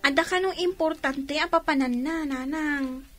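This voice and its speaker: A woman's voice: high voice, moderate pace, faint music behind, close to an everyday voice. A woman playing a polite, questioning young daughter in Ilocano radio drama, with a Filipino accent. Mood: questioning, hurt.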